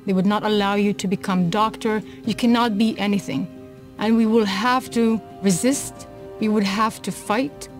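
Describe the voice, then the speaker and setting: A woman from Israel gives a personal testimony in an even, mid-range voice. She speaks earnestly and at a measured pace, with music playing clearly behind her. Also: medium pitch. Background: background music.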